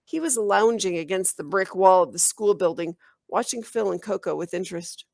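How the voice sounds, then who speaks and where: A woman reading a children's novel aloud as an expressive storyteller, in the manner of a teacher. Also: medium pitch, normal speed, creaky, no echo, clean studio sound. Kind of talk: children's audiobook narration.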